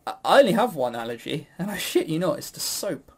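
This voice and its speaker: Higher-voiced man in rambling, jokey banter. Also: sing-song, brisk, slightly rough. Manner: rambling, jokey, self-mocking, swears casually. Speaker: podcast co-host and quizmaster. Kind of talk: casual banter.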